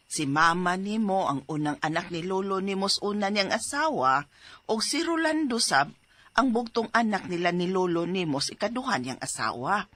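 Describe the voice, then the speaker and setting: A woman playing an assertive aunt who gives forceful advice in an audio drama. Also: medium pitch, no room sound. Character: assertive aunt urging her nephew to fight.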